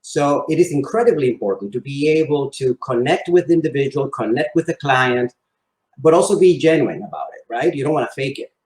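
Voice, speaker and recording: A man's voice: medium pitch, fairly quick, a little mellow. Man in a roomy space. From Venezuela and Spain. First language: Spanish. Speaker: interview guest, real estate salesman. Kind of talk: conversational interview answers. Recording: roomy, echoing sound.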